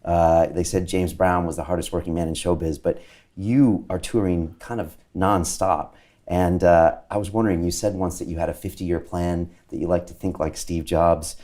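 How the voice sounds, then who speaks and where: Male interview host with a very low voice, measured and steady, reading an intro then asking questions with plenty of "uh"s. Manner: measured, steady delivery with frequent "uh" fillers.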